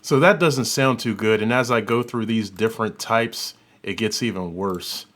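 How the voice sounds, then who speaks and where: A man hosting an audio software tutorial, giving an informal, unscripted demonstration and thinking aloud as he works. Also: lowish voice, normal speed, over music. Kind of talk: informal demonstration and review commentary.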